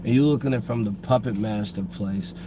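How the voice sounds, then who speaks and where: A hoarse man getting over a cold, co-hosting a casual podcast and talking in a halting way with frequent restarts. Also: on the low side. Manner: halting, many restarts and repeated words. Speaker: podcast co-host. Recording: noisy background.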